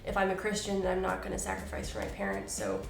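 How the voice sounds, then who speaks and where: A woman's voice: mid-range voice, sing-song, fast talker, vocal fry, echoey room. A woman missionary asking and answering questions in a casual, thoughtful way. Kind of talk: casual Q and A chat.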